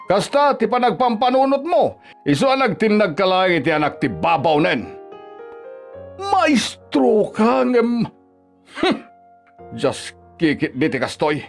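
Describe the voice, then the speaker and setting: A man's voice: high voice, sing-song, a little slow, gravelly, long pauses, soft background music, full character voice. A man playing a grumpy, scornful old father in a radio drama, scolding and sarcastic, with an Ilocano accent. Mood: indignant, bitter. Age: older. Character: grumpy, money-minded old father scorning his daughter-in-law.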